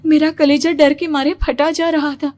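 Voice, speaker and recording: Woman telling a scary story, high pitched, really theatrical and suspenseful, music underneath. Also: level tone, normal speed, darkish tone. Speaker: horror story narrator. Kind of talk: dramatic first-person scripted narration.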